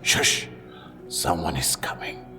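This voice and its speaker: A man voicing a stocky male dwarf wizard in an audio drama, giving a hushed, slow warning. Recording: distant, reverberant, faint music.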